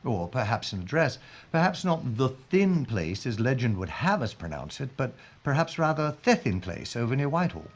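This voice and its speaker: An actor playing an earnest police inspector in a wartime audio drama, speaking formally and deliberately. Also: male voice, mid-range voice, very animated. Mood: deducing. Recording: dull lo-fi sound, faint noise.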